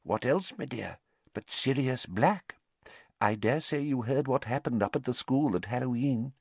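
A man's voice: mid-range voice, sing-song, moderate pace, no echo, voice pushed up. A man voicing a pompous, blustering middle-aged government minister in a storybook. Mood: grave, curt.

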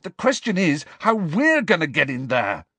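A man's voice: fairly high pitch, wildly swooping pitch, normal speed, slightly rough, no room sound, voice pushed up, exaggerated voice. A man voicing an urgent, hot-tempered teenage boy wizard in an audiobook. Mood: angry, shouting. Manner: loud, urgent, shouting when challenged. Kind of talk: audiobook character acting.

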